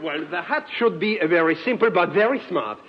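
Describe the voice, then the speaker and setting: A man's voice: high voice, animated, a little fast. A man playing a genteel, fussy fashion designer with hesitant, mannered courtesy in 1940s radio comedy. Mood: genteel, eager. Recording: dull, faintly noisy old radio recording.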